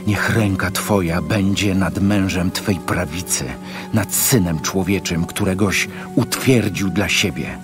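A deep, slow, reverent psalmist. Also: male voice. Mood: reverent pleading. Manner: solemn, imploring, measured. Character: reverent psalmist imploring God for rescue. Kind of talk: dramatized audio Bible reading. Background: music.

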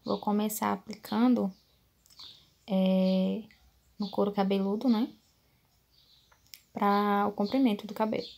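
A woman's voice: mid-range voice, steady tone, unhurried, creaky, pauses often, a little mellow. A woman in her thirties from Brazil, talking viewers through her hair-care routine in a chatty, casual way, often asking for agreement. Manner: chatty, addresses viewers as "gente", many fillers like "né". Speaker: beauty vlogger. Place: small bedroom at home, indoors.

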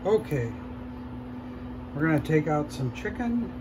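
A man hosting a cooking show, calmly explaining each step as he cooks in a shop demo kitchen. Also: on the high side, unhurried, noisy background. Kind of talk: casual cooking demonstration.

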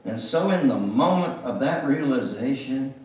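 An older man gives a dramatic memoir reading in a church, theatrical and deliberate, drawing out words and pausing for emphasis. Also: mid-range voice, expressive, darkish tone, distant mic. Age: older, 70s or above. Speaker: guest speaker reading at a church. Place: church sanctuary, indoors at the front. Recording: roomy, echoing church sound from afar.

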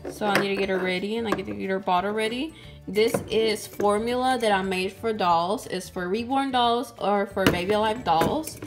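A woman's voice: mid-range voice, moderate pace. A young woman casually narrating doll-play cooking in a sweet, chatty way, speaking to her viewers and a doll. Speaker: doll channel host. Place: toy kitchen indoors. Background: background music.

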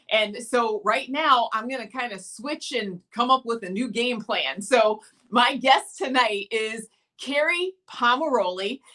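Woman host, middle-aged, fairly high, bright, expressive live-stream delivery. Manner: animated, gestures a lot. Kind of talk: live video show chat. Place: home room with plant and mannequin.

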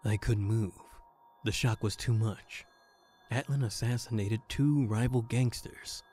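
A man's voice: mid-range voice, unhurried, darkish tone, a little hiss or hum, close to an everyday voice. A man playing an earnest private detective who narrates his own case in a noir-parody audio drama. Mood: shocked, subdued.

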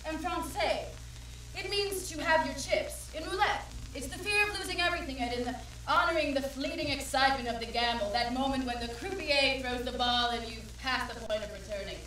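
A woman playing a spirited, worldly 1920s radio actress, animated and declamatory in period stage dialogue. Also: high-pitched, brisk. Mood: excited. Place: dark small performance stage. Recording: very echoey, slightly distant stage recording.

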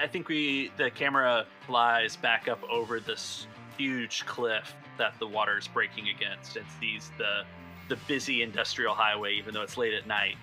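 A man's voice: on the low side, pushed and bright. A man running a tabletop roleplaying game as game master, narrating scenes in a descriptive, conversational way.

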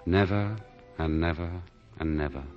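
An actor playing an earnest, tender escaped prisoner in love, in a 1940s radio drama. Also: male voice, a bass voice, normal speed. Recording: muffled 1940 radio broadcast with music.

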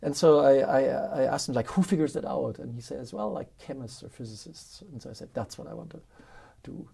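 Guest voice: fifties man, German accent, husky and gravelly, lively storyteller who laughs a lot, studio interview. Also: medium pitch, a little fast. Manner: animated, laughs often, acts out quotes. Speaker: guest, professor. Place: studio with dark curtain backdrop.

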